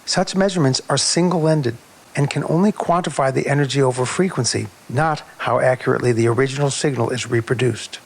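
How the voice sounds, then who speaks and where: A man narrating a technical video on sound measurement in a measured, precise, explanatory way. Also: medium pitch, vocal fry.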